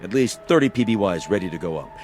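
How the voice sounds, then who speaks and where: Sardonic, dismissive colonel, lower register, curt commanding radio drama delivery. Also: male voice, expressive, fast talker, darkish tone, soft background music. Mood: mock-serious. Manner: curt, dry sarcasm, clipped orders.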